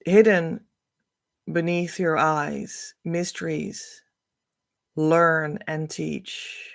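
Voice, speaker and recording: A middle-aged Dutch-native woman speaks slowly and thoughtfully into a close webcam, giving a spiritual card reading. Her voice sits a little low, and she lets it drift between soft asides and louder emphasis. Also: steady tone, vocal fry. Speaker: spiritual coach. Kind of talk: oracle card reading to camera.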